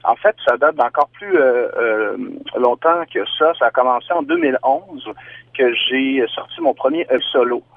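A Québécois man speaks thoughtfully and at length, explaining his ideas in a measured way. His voice comes through a tinny, phone-like line. The sound is dry and close, with no room around it. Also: medium pitch, very animated, gravelly, dry recording. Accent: Québécois French. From Quebec, Canada. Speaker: singer-songwriter guest. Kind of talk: reflective interview answers.

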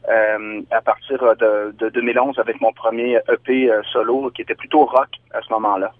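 A man's voice: mid-range voice, level tone. Québécois man, tinny phone line. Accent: Québécois French. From Quebec, Canada. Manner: thoughtful, measured, explaining ideas at length. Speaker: singer-songwriter guest. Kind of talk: reflective interview answers.

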